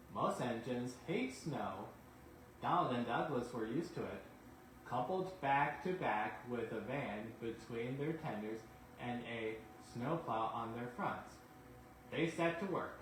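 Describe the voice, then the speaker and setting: A man's voice: mid-range voice, far from the mic. A man reading children's bedtime stories aloud as a narrator in a reverberant room. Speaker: storyteller. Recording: very echoey room, faint noise.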